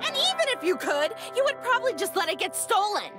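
A woman's voice: extremely high pitch, big pitch swings, a little fast, voice pushed up. A woman voicing an angry, sarcastic young woman with a biting, exasperated delivery in a visual novel read-aloud. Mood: scornful, angry. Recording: loud music behind.

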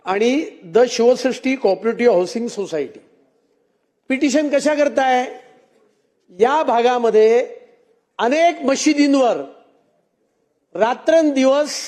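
Man, very high pitch, formal debate speech, insistent, rhetorical questions. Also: darkish tone. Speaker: legislator. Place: legislative assembly chamber.

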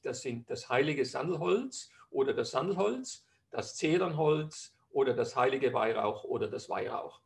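A middle-aged Swiss man with a Swiss German accent gives an online lecture over slides. His voice carries a gravelly edge at a middle pitch, and he talks in a chatty, explanatory way with frequent hesitations. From Switzerland. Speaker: physician presenter.

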